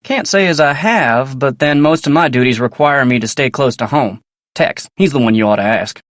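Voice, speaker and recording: An actor playing a polite, earnest young ranch hand in a video game, with a folksy American Western drawl and a plainspoken way of talking. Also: male voice, medium pitch, normal speed, no echo, studio quality, natural voice. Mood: plainspoken, courteous. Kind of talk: video game character dialogue.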